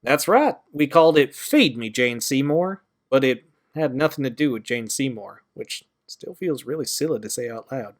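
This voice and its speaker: A man's voice: medium pitch, animated, normal speed, few pauses, close to an everyday voice. A man playing an easygoing, wry young guitarist friend, sheepish but good-humoured, in an audio drama. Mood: playful. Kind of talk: amateur audio drama acting.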